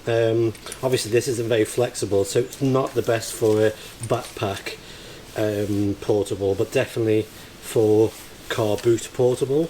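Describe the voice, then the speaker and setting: A man's voice: fairly low pitch, fairly even intonation, moderate pace, few pauses, dark tone, low-quality recording. A man talking casually through a hands-on task, hesitantly thinking aloud as he works. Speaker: amateur radio operator vlogging. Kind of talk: casual hands-on vlog narration. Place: outdoors in the field and inside a barn. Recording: clear ambient background noise on location.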